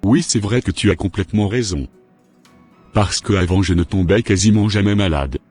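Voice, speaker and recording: Man acting a repentant sick preacher, husky voice, very deep, pleading, humble, soft music under. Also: normal speed. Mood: grateful. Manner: troubled, pleading, prays humbly.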